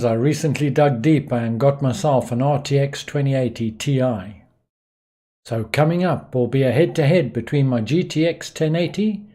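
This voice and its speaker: Husky-voiced man narrating a tutorial. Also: medium pitch, warm tone. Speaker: flight simulation tutorial host. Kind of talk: instructional voice-over narration.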